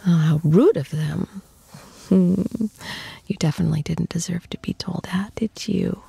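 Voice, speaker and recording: Woman acting a breathy, creaky, doting girlfriend. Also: on the low side, no echo, heavily acted. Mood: soothing, concerned. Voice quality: breathy, often dropping into soft whispers. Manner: cooing, playful, slow and tender. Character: affectionate, teasing young girlfriend comforting her shy boyfriend. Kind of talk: intimate ASMR girlfriend roleplay.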